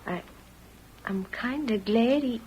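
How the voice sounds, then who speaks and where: An actress playing a loyal, gentle pioneer wife with an American accent and earnest delivery in an old-time radio drama. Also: female voice, fairly high pitch, slow. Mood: resigned, tender. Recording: dull, noisy old radio recording.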